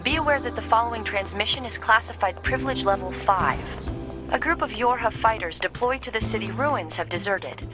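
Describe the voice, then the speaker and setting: Woman doing formal military operator lady, slightly high voice, music behind. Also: animated, fairly quick, close to an everyday voice. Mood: official. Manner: formal, clipped, instructive. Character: official, businesslike female android military operator. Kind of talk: video game military radio briefing.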